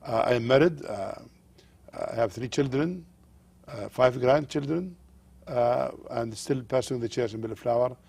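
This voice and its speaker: Older Jordanian-accented male pastor, gravelly, husky tone, earnest and halting, for an interview guest. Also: mid-range voice, a little mellow. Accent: Jordanian Arabic. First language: Arabic. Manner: earnest, halting with frequent fillers.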